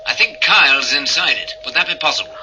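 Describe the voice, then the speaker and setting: A man's voice: on the high side, animated, fast talker, lightly acted. A man playing a hunted, irritable spacer reporting by radio in a 1980 sci-fi drama, blunt and terse. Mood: grim, terse. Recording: noisy old radio drama tape.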